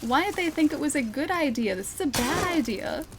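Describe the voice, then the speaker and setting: A woman's voice: high voice, lively intonation, a little fast, background noise. Chatty, giggly young woman streamer. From Australia. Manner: chatty and giggly, talks to chat. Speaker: game streamer. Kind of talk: casual live-stream commentary.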